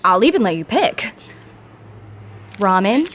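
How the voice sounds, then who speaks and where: A woman's voice: mid-range voice, big pitch swings, takes it slowly, fairly bright tone. A woman playing a laid-back, teasing young cat owner in an audio drama.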